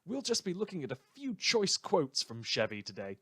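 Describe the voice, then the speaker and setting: A man's voice: fairly high pitch, wildly swooping pitch, moderate pace, bright, forceful tone, breathy edge, no echo, studio quality, full character voice. Man playing a theatrical, swooping, sardonic radio host. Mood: wry. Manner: sarcastic, dramatic, emphatic. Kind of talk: scripted satirical radio news commentary.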